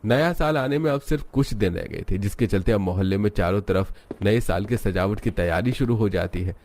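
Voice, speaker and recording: A man with a fairly low voice narrates a cartoon story from a script. There is faint noise and some clicking in the recording. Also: brisk, darkish tone.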